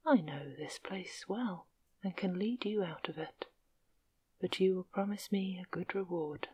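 Sly old man with a grey beard, cheerful bargainer, breathy whisper, slow, a woman reading him. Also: fairly low pitch, mellow, dull audio. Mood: wheedling.

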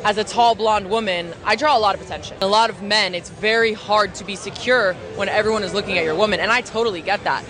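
A woman's voice: mid-range voice, quick pace, few pauses. A woman in her twenties answering street interview questions frankly and confidently on a city street at night. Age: 20s. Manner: frank, self-assured.

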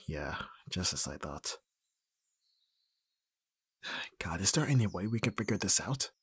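A man acting an awkward, flustered young best friend in an ASMR roleplay, with a breathy, husky voice and hesitant, stammering delivery. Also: sits low, very animated, slow pace, fairly bright tone, no room sound, full character voice. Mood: awkward, subdued. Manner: hesitant, stammering, often dropping to whispers.

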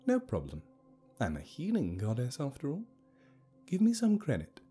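A man's voice: medium pitch, wildly swooping pitch, moderate pace, dark tone, soft background music, voice pushed up. A man voicing a cocky, boastful healing goddess in an audio story, breezy and self-satisfied. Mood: smug.

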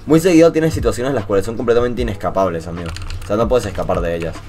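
A young man from Venezuela with a mixed Latin American and Spanish accent, commenting casually over his gameplay in an animated, swearing, joking rant. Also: lowish voice, fast, over music. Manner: animated ranting, swears, jokey. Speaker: gaming YouTuber.

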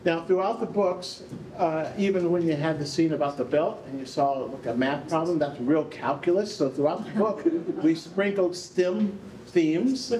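An older man hosting a live stage event, speaking off the cuff to the audience and guests in an animated way. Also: a little high, normal speed. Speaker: event host, researcher. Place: indoor rehearsal hall, on stage. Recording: echoey room, faint background noise.

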